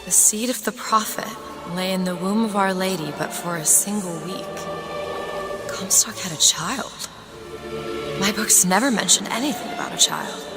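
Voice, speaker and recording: A woman's voice: medium pitch, normal speed, close to an everyday voice. A woman playing a bookish, earnest, sheltered young woman in a video game, speaking articulately. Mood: pensive. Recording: loud music behind.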